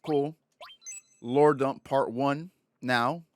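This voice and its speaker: A man's voice: mid-range voice, steady tone, extremely slow. A man doing casual let's play commentary, joking and slangy as he streams.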